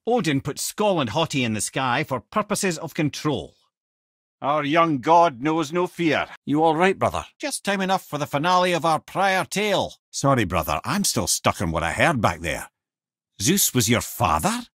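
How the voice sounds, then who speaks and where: A witty old Scottish storyteller, a learned talking head from a fantasy video game. His delivery swings widely in pitch, wry and wordy with old-fashioned turns of phrase. The sound is close and dry. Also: a bit bright, no room sound. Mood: wry, companionable. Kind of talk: video game character dialogue, storytelling and battle callouts.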